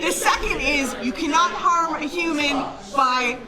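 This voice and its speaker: A woman's voice: high-pitched, a little slow. A woman bantering and joking casually as a player at a live game. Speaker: player in a tabletop RPG. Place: live event hall. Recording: live hall with loud crowd. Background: loud crowd.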